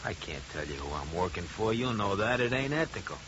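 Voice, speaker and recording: An American actor playing a shady, streetwise small-time investigator, touchy and defensive, with slangy bluster in a 1950s radio drama. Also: male voice, low voice, very animated, fairly quick. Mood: cagey. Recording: muffled, very hissy 1950 radio recording.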